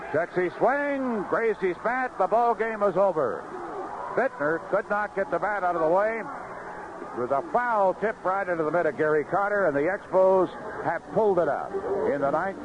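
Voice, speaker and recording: Man calling baseball on noisy vintage radio. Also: sits high. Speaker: baseball play-by-play announcer. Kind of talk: live radio baseball commentary. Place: outdoor ballpark broadcast booth. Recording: dull, noisy vintage 1970s radio broadcast.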